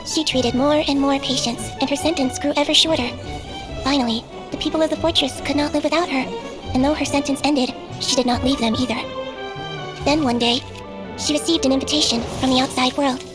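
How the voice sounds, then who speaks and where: High-voiced gentle storyteller, played by a woman. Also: fairly even intonation, fairly quick, music in the background, natural voice. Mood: gentle, solemn. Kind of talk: scripted story narration in a game cutscene.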